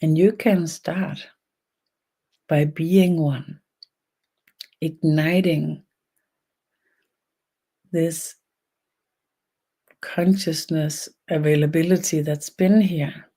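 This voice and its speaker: A speaker from Denmark with a Danish accent and a gravelly creak leads a guided energy meditation online, speaking haltingly in short phrases with long pauses. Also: medium pitch, mellow. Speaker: spiritual energy healer leading an online session. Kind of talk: slow guided meditation and channeled talk.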